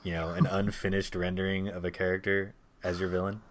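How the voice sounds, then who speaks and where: A man's voice: low voice, little pitch movement, fairly quick, slight background noise. A man hosting a podcast, leading a casual discussion about movies.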